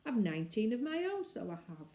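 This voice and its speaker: A woman's voice: fairly high pitch, very animated, a little fast, mellow. A woman voicing a coaxing, motherly traveller woman in a storybook, wheedling in folksy phrases. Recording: roomy, echoing indoor sound.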